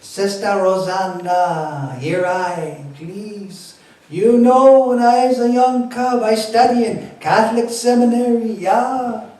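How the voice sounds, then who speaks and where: A man voicing a loud, preachy middle-aged Rastafarian man with a Jamaican accent, who talks emphatically and ends sentences as questions. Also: high voice, slow, voice pushed up. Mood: lecturing. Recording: echoey room, distant mic.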